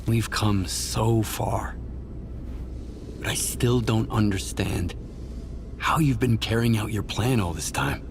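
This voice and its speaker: A man's voice: fairly low pitch, takes it slowly, long pauses, background noise. A man playing a wary, questioning mercenary in a video game, terse and probing. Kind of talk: scripted video game cutscene acting.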